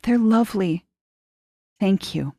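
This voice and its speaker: A woman reader plays a wistful, gentle young woman in an audio short story. Her voice lifts higher than the narration, soft and deliberate, with tender resolve in a dry recording. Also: expressive, dry recording. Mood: awed, grateful.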